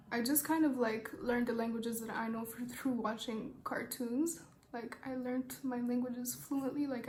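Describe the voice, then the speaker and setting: A young woman with a somewhat high voice talks in a flat, even way while she does her makeup and answers questions, easygoing and rambling, swearing casually. Her voice bounces off the walls of an echoey room, with a faint hiss underneath. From Rwanda. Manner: laid-back, rambling, swears casually, many fillers. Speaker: beauty vlogger. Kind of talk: casual get-ready-with-me makeup chat and Q&A.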